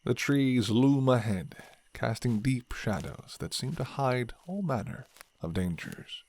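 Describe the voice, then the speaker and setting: A man narrating a scripted fantasy story in the second person, with measured, dramatic storytelling. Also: mid-range voice, expressive, darkish tone.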